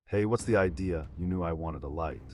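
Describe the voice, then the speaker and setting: A man's voice: low voice, fairly quick, very noisy, close to an everyday voice. A man playing a ruthless, swaggering gangster boss with tough mobster talk in a comic dub. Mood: irritated, boastful. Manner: tough-talking mobster delivery. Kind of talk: motion comic dub of 1940s gangster story.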